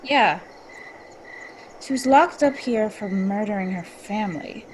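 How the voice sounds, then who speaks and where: A woman's voice: mid-range voice, a little slow, slight background noise, natural voice, poor audio. A woman playing a bold, impatient young woman in a dramatic reading, with a blunt, dry, sardonic delivery. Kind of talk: dramatic reading, casual dialogue.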